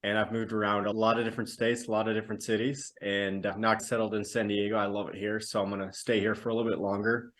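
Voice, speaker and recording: A man's voice: fairly low pitch, little pitch movement, fast talker, hardly any pauses. A man from the Netherlands, a native Dutch speaker, giving reflective, explanatory answers as a podcast guest in conversation.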